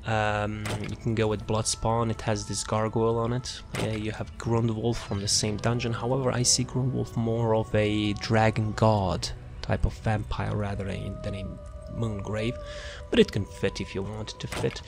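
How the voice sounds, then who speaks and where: A man's voice: fairly low pitch, little pitch movement, moderate pace, vocal fry. A man giving a casual, unscripted video game build walkthrough, rambling and repeating words as he looks for things. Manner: rambling, repeats words and phrases while searching. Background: background music.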